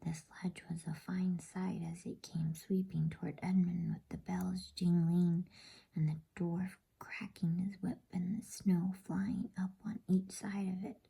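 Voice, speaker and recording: A woman whispering an ASMR bedtime story as a storyteller, with a breathy voice. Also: fairly low pitch, flat delivery, unhurried, dark tone.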